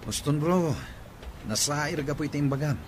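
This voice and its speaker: A man's voice: a little high, moderate pace, husky. A Filipino man playing a considerate, friendly young boyfriend in a radio drama. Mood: reproving. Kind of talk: Ilocano radio drama acting.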